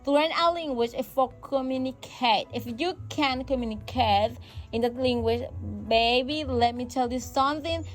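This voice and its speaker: A woman's voice: high voice, normal speed. A woman whose first language is Spanish, hosting a casual English-learning podcast. She is encouraging, repeats key phrases and makes small grammar slips. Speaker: English teacher and podcast host. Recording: faint music in the background.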